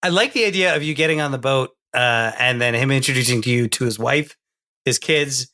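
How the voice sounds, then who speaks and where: A man's voice: mid-range voice, bright, forceful tone. A man on a comedy podcast, joking and improvising. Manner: playful, quick to laugh. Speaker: podcast co-host.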